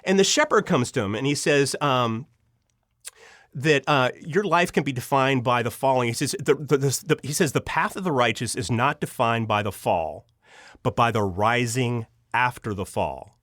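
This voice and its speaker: A man speaking as an interview guest in a conversational storytelling way, earnest and halting, often repeating words as he goes. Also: mid-range voice, a bit bright, dry recording, clean studio sound. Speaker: audio drama writer and producer, interview guest.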